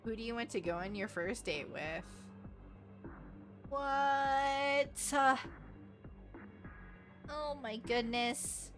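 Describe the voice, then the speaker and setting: A woman's voice: a little high, very slow, pauses often, fairly bright tone. Female game streamer, bubbly and rambling, casual live chat with music behind her. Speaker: livestreamer.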